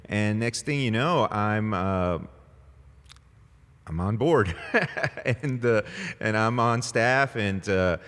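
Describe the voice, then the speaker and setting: A man's voice: fairly low pitch, slow, a bit bright, clear, resonant tone. A man in his forties, a Spanish native speaker from El Salvador, chatting easily as a pastor guest on a podcast, with many fillers and laughing asides. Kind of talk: casual interview conversation. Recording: long reverb, echoey room.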